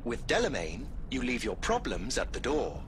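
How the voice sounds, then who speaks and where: A prim, polite AI cab driver. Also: male voice, mid-range voice, huge pitch swings, normal speed, background noise. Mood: courteous. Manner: formal, courteous, prim. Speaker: AI taxi service. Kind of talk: game dialogue.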